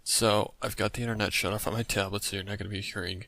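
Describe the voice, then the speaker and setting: A man's voice: on the low side, fairly even intonation, fast talker, creaky, sharp tone. A man hosting a reaction video, commenting in a casual, informal and rambling way. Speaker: host of a reaction channel. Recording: music playing behind the voice. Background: music.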